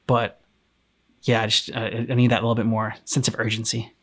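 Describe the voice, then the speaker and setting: A man co-hosting a game reaction channel, chatting casually and haltingly as he searches for his words. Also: on the low side, monotone. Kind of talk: casual discussion, channel chat.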